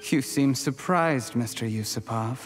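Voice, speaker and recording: In a very echoing space with music behind, a man acts a cold, ambitious underling from a video game. His mid-range voice is deliberate and formal, with bitterness under the courtesy. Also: medium pitch, close to an everyday voice. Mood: bitter, defiant. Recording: long reverb, music behind.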